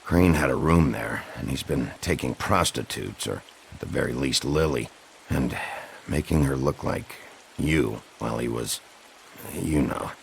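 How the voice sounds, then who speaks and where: A man playing a gruff, weary detective in a dark fantasy video game, blunt and terse. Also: unhurried, exaggerated voice. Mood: grim. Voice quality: gravelly. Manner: blunt, low-key, terse. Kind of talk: dramatic video game acting. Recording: faint rain noise behind the voice.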